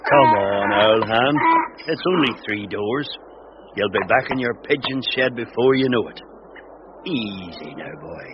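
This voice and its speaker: An actor playing a lonely old widower dressed as Santa, coaxing a donkey in a soft, murmuring voice in an old-time radio drama. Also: male voice, fairly low pitch, expressive, unhurried. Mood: coaxing, hushed. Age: older. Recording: lo-fi radio recording with clear background noise.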